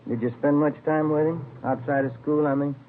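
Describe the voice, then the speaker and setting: A man playing a mild, easygoing police detective in a vintage radio crime drama, with a folksy deadpan manner. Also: a little high, a little fast, natural voice. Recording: tinny, faintly noisy vintage radio recording.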